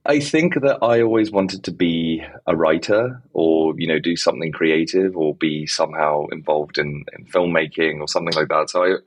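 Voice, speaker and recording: A man's voice: extremely low pitch, normal speed, few pauses. A man from the Czech Republic who speaks with an English accent, talking as a founder in an interview, with a rambling delivery full of "kind of" and "basically". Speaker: guest, startup founder. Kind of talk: conversational interview.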